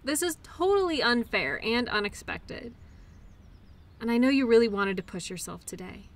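A woman's voice: on the high side, expressive, normal speed, a little hiss or hum. A woman playing a caring, upbeat girlfriend in an intimate roleplay audio, talking in a soothing, playful, encouraging way. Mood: tender, sympathetic. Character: caring, upbeat girlfriend comforting an injured partner.

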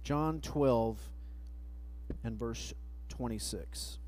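A middle-aged man preaching a sermon at a church pulpit mic, reading scripture slowly and letting phrase ends trail off. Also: mid-range voice, darkish tone, low-quality recording. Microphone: pulpit microphone, far camera.

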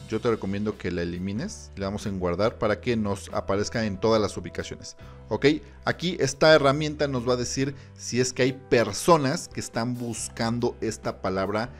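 A man, presenting an informal step-by-step screen tutorial in an explanatory way. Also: mid-range voice. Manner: explanatory, checks in with "ok". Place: small indoor room, seated at a computer. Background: background music.

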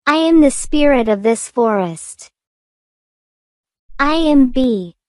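A woman voicing a sweet, dainty young girl in a scripted cartoon, with a deliberate, theatrical manner. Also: sits high, lively intonation, darkish tone, dry recording, clean studio sound. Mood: gentle. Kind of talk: slow scripted English-learning cartoon dialogue.

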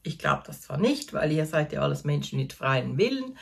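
A woman's voice: sits low, very animated, moderate pace, vocal fry, breathy edge. A woman in her fifties with a Swiss German accent, chatting casually to camera from her small craft corner at home, chatty and self-deprecating and laughing at herself. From Switzerland. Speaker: knitting vlogger.

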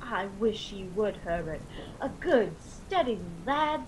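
A woman plays a gentle older mother in a radio drama. Her mid-pitched voice is soothing and fond, with faint rain and rumble behind. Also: big pitch swings, slow, poor audio.

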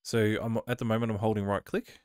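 A man with a cold casually commentating his own gameplay, reading on-screen prompts aloud and thinking out loud as he plays. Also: on the low side, flat delivery, fairly quick, smooth, dry recording, studio quality. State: has a cold. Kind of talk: casual let's-play commentary.

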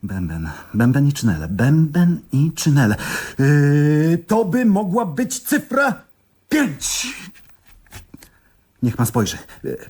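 A man playing an earnest, eccentric poet in a radio comedy, husky, hesitant and excitable, flustered yet proud of his verse. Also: on the high side, slow pace, full character voice. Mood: excited, pondering. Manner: hesitant, excitable, musing aloud. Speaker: actor in a radio play. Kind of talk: theatrical radio comedy dialogue.

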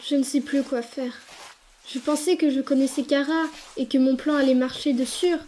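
High-voiced earnest young boy, played by a woman. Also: steady tone, normal speed, slight background noise, natural voice. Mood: troubled. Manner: earnest, soft-hearted, insistent. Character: gentle, stubborn, pleading young human boy. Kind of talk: dramatic comic fan-dub acting.

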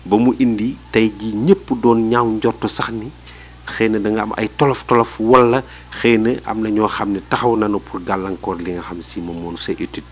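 A middle-aged Senegalese man answering a street interview indoors, measured and hesitant with many fillers. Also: fairly low pitch, fairly even intonation, slight background noise. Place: indoors in a small room.

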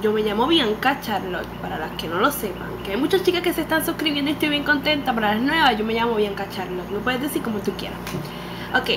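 A woman's voice: fairly high pitch, expressive, fast. A nineteen-year-old woman from Puerto Rico with a Puerto Rican accent, chatting animatedly to a webcam and dropping English words into her talk. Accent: Puerto Rican Spanish. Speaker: beauty vlogger. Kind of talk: casual chat to camera. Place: small room indoors. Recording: home webcam recording with background noise.

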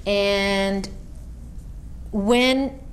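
A woman interviewing a veteran for an oral history project, asking polite, measured questions. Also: medium pitch, steady tone, slight background noise. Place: library room.

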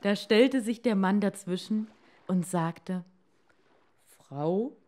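A woman narrates a bedtime fairy tale at a very slow, deliberate pace. Her delivery is dramatic and expressive, with a medium-pitched voice. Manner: dramatic, deliberate, dropping to hushed tones. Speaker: storyteller.

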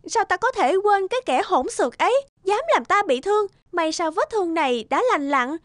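A woman's voice: very high voice, lively intonation, moderate pace, dry recording, clean studio sound. A woman voicing a vain, scheming young maidservant who poses as a princess in a cartoon fairy tale, haughty and falsely sweet. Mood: spiteful. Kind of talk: animated fairy-tale character acting. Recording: music under the voice.

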